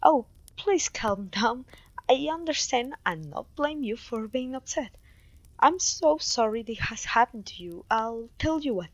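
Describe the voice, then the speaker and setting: A clumsy, kindly witch played by a woman mentors her apprentice in an ASMR fantasy roleplay. Her voice is on the high side and recorded close and dry, with polite, faintly old-fashioned phrasing and an apologetic, gentle manner. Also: animated, unhurried, no room sound, lo-fi sound. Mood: apologetic, reassuring.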